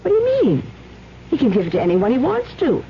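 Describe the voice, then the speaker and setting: Anxious, animated young wife. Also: female voice, sits high, brisk, heavily acted. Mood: indignant, probing. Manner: concerned, earnest, melodramatic. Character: anxious, devoted young wife of a poor man. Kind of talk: old-time radio mystery acting. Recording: muffled old radio recording, faint noise.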